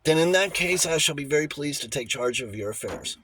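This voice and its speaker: A man's voice: fairly high pitch, lively intonation, a little fast, fairly bright tone. Man voicing a fussy little old lawyer, noisy recording. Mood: pleased, formal. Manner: hesitant stammers giving way to precise, emphatic pronouncements. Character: little old bachelor lawyer, diffident turning authoritative, relishing a fight.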